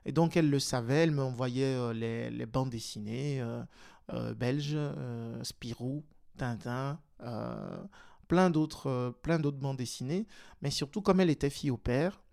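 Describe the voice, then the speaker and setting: Guy, interview guest, lots of euhs and restarts, mid pitch. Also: a little slow, gravelly, a little mellow. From Togo. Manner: hesitant, many fillers and restarts. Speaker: guest, politician and teacher. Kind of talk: casual interview talk.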